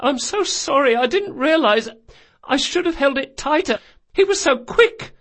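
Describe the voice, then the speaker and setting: Guy doing a little boy thief playing innocent, high whimpery voice, pleading, storybook. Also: animated, measured pace, a bit bright, exaggerated voice. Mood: tearful, pleading. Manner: whimpering, pleading, over-earnest. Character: small sly boy thief posing as tearful initiate.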